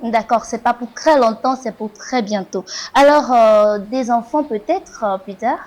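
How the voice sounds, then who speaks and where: Woman host, fairly high voice, hesitant, lots of fillers. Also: measured pace, a little mellow. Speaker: talk show host. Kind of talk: interview questions.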